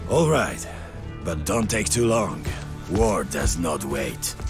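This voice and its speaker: An actor playing a grim middle-aged resistance commander in a video game cutscene, terse, commanding and fervent. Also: male voice, low-pitched, lively intonation, takes it slowly, creaky, full character voice. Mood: gruff, commanding. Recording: music behind the voice.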